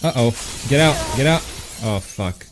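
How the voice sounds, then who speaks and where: A man's voice: medium pitch, animated, a little slow, soft background music. A man hosting a livestream, chatting casually about a game in a wry, joking manner. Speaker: livestream host. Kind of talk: casual gaming stream commentary.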